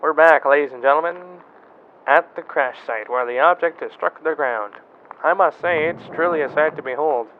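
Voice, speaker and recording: A man's voice: a little high, normal speed, lightly acted. An actor playing a male field reporter who describes a crash site in an awed way. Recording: distant tinny phone-like audio.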